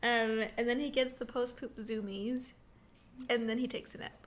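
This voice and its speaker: A woman's voice: fairly high pitch, fairly even intonation, moderate pace. A young woman co-hosting a casual podcast, chatty and giggly, with lots of "like" in her speech. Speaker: podcast co-host. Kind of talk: casual chat.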